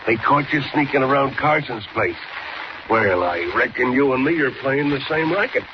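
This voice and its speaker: An actor playing a pushy, slangy gangland jailbird in a 1940s radio drama. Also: male voice, medium pitch, expressive, moderate pace, natural voice. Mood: conspiratorial. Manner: tough slangy talk, conspiratorial. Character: shady, pushy male jailbird, gangster's accomplice. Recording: muffled, noisy 1940s radio recording.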